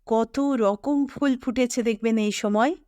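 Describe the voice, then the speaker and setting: Woman reading an assured forest officer, unhurried. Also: on the high side, mellow, dry recording, studio quality. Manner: dropping English phrases, dryly knowing. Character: assured, matter-of-fact male forest officer.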